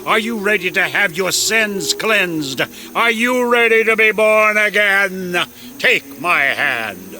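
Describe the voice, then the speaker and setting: A man's voice: high-pitched, lively intonation, slow, bright, forceful tone, full character voice. A man playing a fervent preacher in a video game, delivering a solemn, incantatory baptism sermon. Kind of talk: dramatic video game acting.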